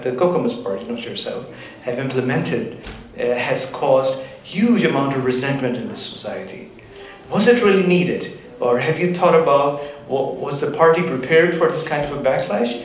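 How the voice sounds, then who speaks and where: A man moderating a panel discussion at a community event. He talks haltingly, with many restarts and fillers. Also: mid-range voice. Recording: very echoey hall with some noise.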